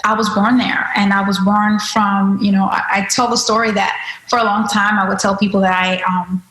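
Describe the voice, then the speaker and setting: A woman from the Dominican Republic, a guest author telling personal stories in an interview, animated and lively. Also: mid-range voice, brisk, reverberant, low-quality recording. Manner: animated, lively anecdotes with quoted asides. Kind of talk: conversational interview storytelling.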